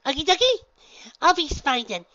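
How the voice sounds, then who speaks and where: A woman voicing a bubbly, excitable young female pony with a squeaky, overeager voice. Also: normal speed, no room sound, exaggerated voice. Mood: cheerful. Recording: dull, dry lo-fi recording.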